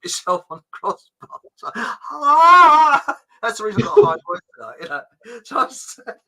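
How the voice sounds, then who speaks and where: Middle-aged man, rambling anecdotes, very bright voice, animated pitch. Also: measured pace, vocal fry, low-quality recording. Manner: rambling anecdotes, frequent laughs, mild swearing. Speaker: podcast host. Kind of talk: casual storytelling chat.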